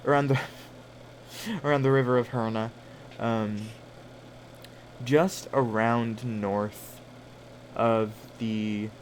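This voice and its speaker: A man running a tabletop game as game master, improvising scene descriptions in a halting way as he searches for words. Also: mid-range voice, a little hiss or hum, low-quality recording.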